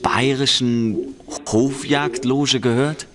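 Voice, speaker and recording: A man's voice: mid-range voice, steady tone, slow pace, lightly acted. An actor playing a curious, casual young male investigator in a video game, asking probing questions. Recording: game audio with busy background.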